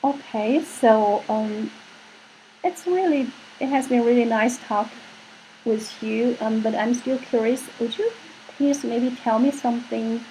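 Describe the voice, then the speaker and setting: A woman's voice: on the high side, unhurried, mellow, slight background noise, poor audio. A woman interviewing a student, who asks questions in an encouraging way and keeps prompting him along. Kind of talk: admissions interview.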